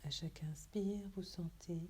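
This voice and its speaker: A woman yoga teacher leading a guided relaxation meditation in a soft, breathy, hushed and soothing, unhurried way. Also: fairly low pitch.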